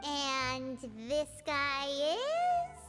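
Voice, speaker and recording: A woman's voice: wildly swooping pitch, very slow, a bit bright, heavily acted. A woman voicing a small, childlike, perky and cocky fairy companion in a video game. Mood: suspicious. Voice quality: squeaky, childlike. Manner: refers to self by name, perky. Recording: long reverb, music underneath.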